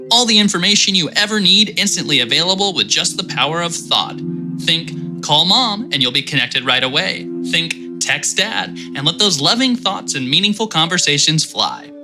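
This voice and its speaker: A man voicing a pushy male vision officer who pitches brain implants like an infomercial host, cheerful with a menacing edge.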